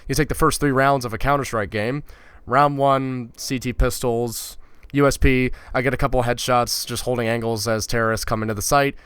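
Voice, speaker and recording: A man's voice: medium pitch, steady tone, brisk, a bit bright, clear, resonant tone, dry recording. Gamer guy ranting to his channel, swears a lot, trips over words, mid voice, dry close sound. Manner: blunt, profane, conversational, with stumbling repeats. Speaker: gaming YouTuber. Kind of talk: casual ranting commentary.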